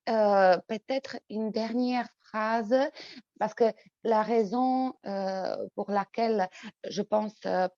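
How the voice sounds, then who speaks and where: Casting: woman, Czech accent, slightly low voice, halting guest full of pauses and restarts, dull dry line. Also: mellow, no room sound. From Czech Republic. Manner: hesitant, many fillers and repeated words. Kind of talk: interview answers.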